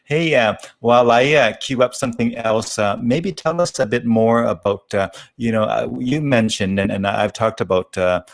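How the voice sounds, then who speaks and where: A man hosting a casual live interview, speaking in an informal, halting way. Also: medium pitch, gravelly, dry recording. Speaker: talk show host.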